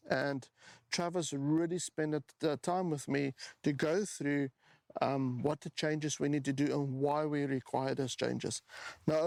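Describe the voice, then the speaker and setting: A man's voice: fairly high pitch, creaky, darkish tone, dry recording. A man in his forties with a South African accent, talking casually to camera as he walks through his own motorbike build. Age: 40s. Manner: chatty, with frequent ums and restarts. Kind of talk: casual walkaround talk to camera.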